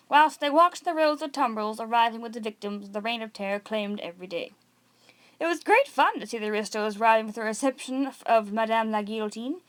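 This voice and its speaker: Female amateur storybook reader, high register, narrating with occasional stumbles and self-corrections. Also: a bit bright. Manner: stumbles over words and corrects herself. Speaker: amateur reader of an audiobook read-along. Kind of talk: read-aloud storybook narration.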